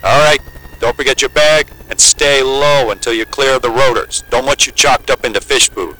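A man's voice: mid-range voice, fairly even intonation, normal speed, lightly acted, low-quality recording. A man playing a wry, down-to-earth helicopter pilot in an audio drama, plain-spoken and joking in a naturalistic way. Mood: casual, advising. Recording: loud engine hum of a helicopter cabin.

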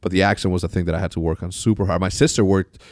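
A man's voice: deep voice, fairly even intonation, rapid-fire, darkish tone, no echo, studio quality. A man in his forties hosting a casual podcast chat, rambling and swearing freely as he tells stories. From moved to the United States at thirteen. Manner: loose, profane, rambling storyteller. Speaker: podcast host.

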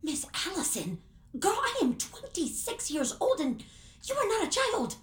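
A woman's voice: extremely high pitch, huge pitch swings, measured pace, roomy sound, exaggerated voice. A woman playing a sassy, blunt security guard in her twenties in an audio drama, bossy and slangy. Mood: sassy, brisk. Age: 20s.

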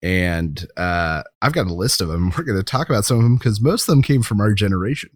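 A man's voice: fairly low pitch, animated, brisk, no echo, studio quality. An American man co-hosting a podcast in casual conversation, with an informal, joking way of talking. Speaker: podcast co-host.

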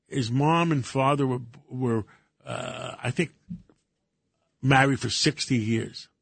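An older Greek-born man, a radio co-host, who talks casually in halting bursts with many fillers and restarts. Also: mid-range voice, vocal fry, a bit bright, breathy edge, no echo. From Greece.

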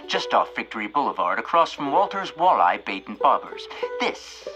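An actor playing a folksy, wry and playful narrator of a storybook-style audio drama. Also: male voice, medium pitch, big pitch swings, moderate pace, music in the background, lightly acted, rough recording.

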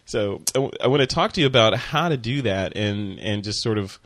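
A man hosting a photography podcast, reading a sponsor spot and interviewing a guest in a relaxed, chatty way with many fillers and restarts. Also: on the low side, brisk. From Chicago. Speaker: podcast host. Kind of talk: casual interview and ad read.

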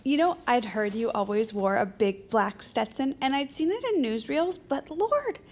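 A chirpy, scatterbrained aide in an audio drama. Her voice sits high, and she babbles guilelessly and nervously as if playing for time. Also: expressive, normal speed, a little mellow. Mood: gushing. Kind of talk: audio fiction drama acting.

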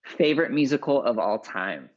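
A man's voice: medium pitch, big pitch swings, normal speed. Encouraging male host, dull lo-fi sound. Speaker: podcast host. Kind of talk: conversational interview. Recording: dull lo-fi audio.

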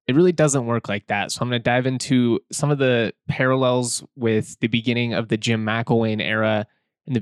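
A man hosting a sports podcast in a relaxed, conversational and opinionated way, his voice slightly hoarse. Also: fairly low pitch, no room sound. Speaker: podcast host. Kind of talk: casual sports podcast talk. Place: indoors, late at night.

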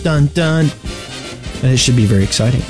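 A man's voice: medium pitch, a little fast. Man around forty chatting over music. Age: 40s. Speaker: podcast host. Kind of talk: casual chat.

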